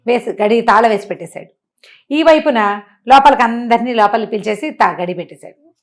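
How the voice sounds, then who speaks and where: A woman telling a moral tale in a conversational, animated way, putting questions to the listener and acting out dialogue. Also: on the high side, fairly quick. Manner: conversational, uses rhetorical questions and acts out dialogue. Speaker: storyteller and motivational speaker.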